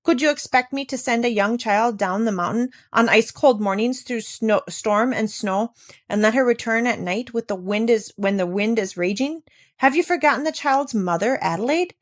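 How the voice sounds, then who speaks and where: A woman's voice: fairly high pitch, moderate pace, natural voice. A woman voicing a stubborn old mountain grandfather in a storybook, blunt and firmly determined. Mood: indignant.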